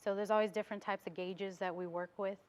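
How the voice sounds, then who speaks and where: A woman's voice: mid-range voice, fairly even intonation, fast. An American woman being interviewed as a business owner in a TV studio, explaining things in a casual way.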